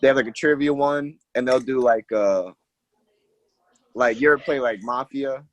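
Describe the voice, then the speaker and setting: Relaxed young man, dry close sound. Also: medium pitch, moderate pace, dry recording, muffled recording. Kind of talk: casual chat on a video call.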